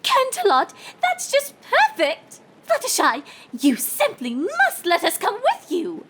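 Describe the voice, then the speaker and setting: A refined, dramatic cartoon unicorn lady. The high voice swoops extravagantly, with genteel and teasing theatrical delivery. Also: huge pitch swings, takes it slowly, a bit bright, heavily acted. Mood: delighted. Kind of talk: character voice in fan fiction reading.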